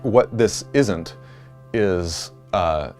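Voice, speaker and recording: A man, a software developer, giving documentary interview answers in an explanatory way, often hunting for words mid-sentence. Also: low voice, animated, drawn-out words, faint music behind.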